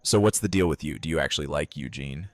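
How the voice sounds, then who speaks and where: A man playing a gruff, teasing tough guy with blunt, folksy phrasing in an audio drama. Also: very deep voice, fast, no room sound. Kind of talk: fan audio drama acting.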